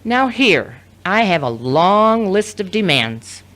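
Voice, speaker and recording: A woman's voice: medium pitch, very animated, slow, a bit bright. A woman playing a betrayed, resolute wife confronting her husband in an audio drama. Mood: wounded, resolute. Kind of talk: scripted audio drama acting.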